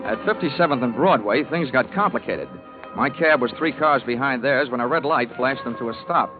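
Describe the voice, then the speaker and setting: An American actor playing a wry, clipped, wisecracking insurance investigator in 1940s radio detective style. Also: male voice, mid-range voice, lively intonation, fairly quick, lightly acted. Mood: wry narrating. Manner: wry, clipped, hard-boiled delivery. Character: wisecracking, cool male insurance investigator narrating his case. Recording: narrow, tinny old radio recording, music.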